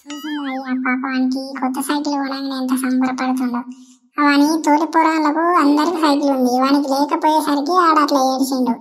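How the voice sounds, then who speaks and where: A woman's voice: high voice, level tone, a little fast, lightly acted. A woman voicing a scolding but doting village mother in a comedy cartoon, chiding with a Telangana accent. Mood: fond, cautioning. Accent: Telangana Telugu. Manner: nagging, chiding. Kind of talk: Telugu comedy cartoon dialogue.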